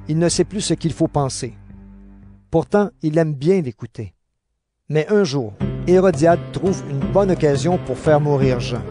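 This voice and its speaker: A man giving scripted narration for an audio Bible drama in a measured storytelling manner. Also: fairly high pitch. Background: background music.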